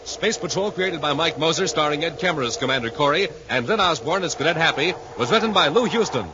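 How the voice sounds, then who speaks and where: A man announcing and pitching enthusiastically in showy 1950s radio style, on an old recording. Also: expressive, quick pace, muffled recording, noisy background.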